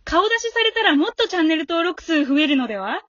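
Woman acting a high-voiced, supportive fan. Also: very animated, quick pace, dry recording, highs cut off, exaggerated voice. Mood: admiring. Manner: polite, supportive, gently advising. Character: friendly, encouraging female fan with a secret, chatting politely. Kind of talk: amateur radio drama acting.

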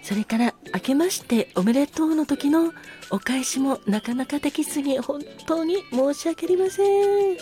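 High-voiced woman, polite and effusively grateful. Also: lively intonation, moderate pace, over music. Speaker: podcast host. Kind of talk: casual solo talk show, thanking listeners.

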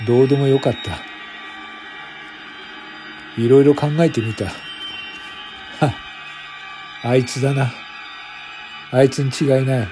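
A man giving scripted first-person narration for a radio drama in a brooding, solemn manner. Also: medium pitch.

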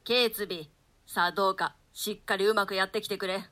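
Plotting lord for an old-fashioned radio Shakespeare, woman actor: forceful, bright tone, issues orders with formal authority. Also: mid-range voice, fairly even intonation, a little fast, close to an everyday voice. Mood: scheming, commanding. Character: scheming, commanding nobleman, grown man, conspirator. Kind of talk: theatrical Shakespeare reading in archaic Japanese translation.

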